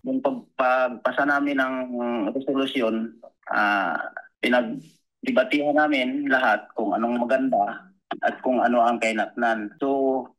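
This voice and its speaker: Bright-voiced Filipino man, halting and polite. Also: medium pitch, steady tone, rough recording. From Philippines. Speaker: commercial fisherman, interview guest. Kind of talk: conversational interview answers.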